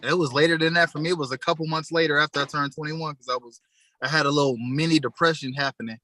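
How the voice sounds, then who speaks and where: A young man with a bright, fairly high voice talks casually on a podcast. His stories come out relaxed and full of slang, and the sound is close and dry. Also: fast talker, no room sound. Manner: laid-back, storytelling with slang. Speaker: podcast co-host. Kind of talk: casual chat.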